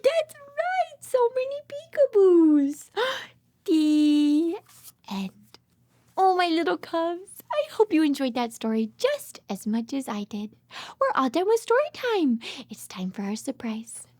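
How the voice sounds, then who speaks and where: A woman's voice: sits high, sing-song, slow pace, darkish tone. A woman reading aloud to babies and toddlers in an exaggerated child-directed way, with simple repeated phrases and questions. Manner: exaggerated child-directed delivery with dramatic gasps and whispers. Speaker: children's read-aloud host.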